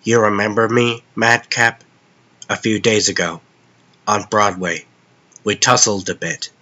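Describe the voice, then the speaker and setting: A man playing a calm, weary costumed vigilante in a comic dub, earnest, understated and matter-of-fact. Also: on the low side, measured pace, muffled recording.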